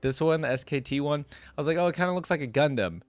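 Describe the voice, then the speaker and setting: A man's voice: fairly high pitch, expressive, fast talker, no room sound. A man giving informal, rambling and opinionated commentary in a casual reaction video. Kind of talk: casual reaction commentary, partly reading aloud.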